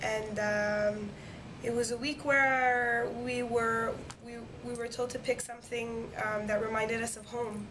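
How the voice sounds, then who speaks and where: A woman's voice: a little high, little pitch movement, measured pace. A young woman from Montreal whose first language is French, answering interview questions eagerly, with plenty of fillers and restarts. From Montreal, Quebec. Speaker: singing competition winner. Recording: noticeable background noise.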